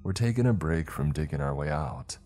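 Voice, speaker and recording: A man voicing a weary, trapped man who records a grim log in a slow, halting, subdued way for a horror story. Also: rumbling low, lively intonation, dark tone, soft background music.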